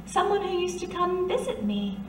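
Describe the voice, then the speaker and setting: A high-pitched, knowing woman of the 1930s underworld, played by an actress, coaxes and soothes with unflappable poise. It is radio detective drama, heard in a roomy space a little away from the mic. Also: big pitch swings, normal speed. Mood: knowing, calm. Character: blind, worldly, soothing 1930s working woman who knows underworld secrets. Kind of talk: old-time radio detective drama acting. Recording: roomy, distant amateur recording, faint noise.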